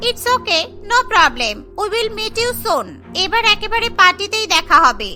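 Cartoon: woman playing a sneering snobbish friend, very high and bright. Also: sing-song, slow pace, soft background music, full character voice. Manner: sneering, mocking. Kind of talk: cartoon voice acting.

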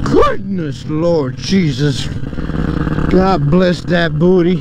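A man's voice: a little high, very slow. A man talking casually and crudely, swearing and joking as he rambles for his vlog while riding a motorcycle outdoors in the city. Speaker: motorcycle vlogger. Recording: clear engine and street noise. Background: motorcycle engine, traffic, street ambience.

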